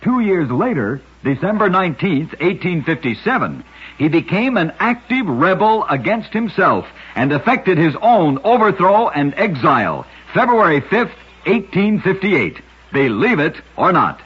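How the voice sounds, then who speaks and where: A man narrating short true tales in a scripted 1940s radio style, with a measured, dramatic storytelling delivery. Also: sits high, sing-song, slightly rough. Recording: muffled old 1940s radio recording.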